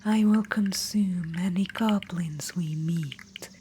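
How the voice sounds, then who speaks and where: A woman voicing a possessive, menacing undead witch-queen in an ASMR roleplay, whispering softly and slowly throughout. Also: fairly low pitch, slight background noise. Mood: coaxing, menacing. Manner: soft whisper throughout, slow and intimate.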